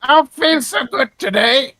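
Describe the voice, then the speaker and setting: A man voicing an ailing Russian woman in a tabletop game, with a botched comic Russian accent and halting delivery. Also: very high-pitched, lively intonation, pushed and bright, pitched above the performer's own voice, heavily acted. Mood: strained, sickly. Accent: exaggerated, botched Russian.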